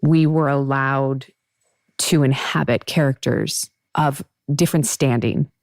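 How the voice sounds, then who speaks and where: A woman's voice: rumbling low, steady tone, measured pace, darkish tone, dry recording, studio quality. A woman hosting a casual talk show, chatty and emphatic, thinking aloud as she talks. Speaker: podcast host. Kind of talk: casual interview chat.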